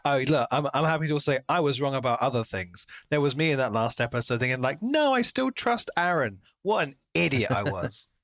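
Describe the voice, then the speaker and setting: A man's voice: medium pitch, big pitch swings, brisk, few pauses, fairly bright tone, dry recording. Casual man discussing a book, dry close sound. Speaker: podcast co-host.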